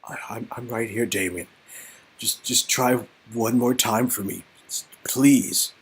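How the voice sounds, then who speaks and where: A man's voice: mid-range voice, measured pace, husky. A man voicing a desperate, tender friend who pleads in stammered, repeated words in an interactive story game. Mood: urgent, tender.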